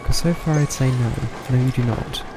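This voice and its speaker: A man's voice: mid-range voice, fairly quick, noisy background. A man giving casual live game commentary, dryly muttering to himself, with game music behind him. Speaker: game streamer. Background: video game music.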